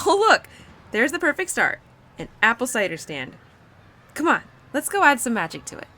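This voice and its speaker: A woman voicing a young female spirit of autumn in an intimate audio roleplay, caring and expressive, playful and easily excited. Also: a little high, normal speed, full character voice. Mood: excited, bossy. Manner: expressive, bubbly, giggly, naive about humans.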